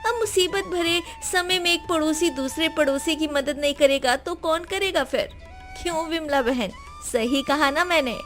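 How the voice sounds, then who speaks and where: A generous housewife from an animated moral tale, put on by a woman narrator. Her high voice is kind and reassuring. Music sits underneath. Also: normal speed. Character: Manju, generous, caring married neighbour woman.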